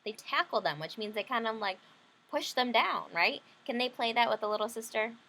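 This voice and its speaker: A woman's voice: on the high side, animated, normal speed, sharp tone. A woman leading an interactive read-aloud lesson for children, explaining simply and often checking in with questions. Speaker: teacher reading a picture book to children.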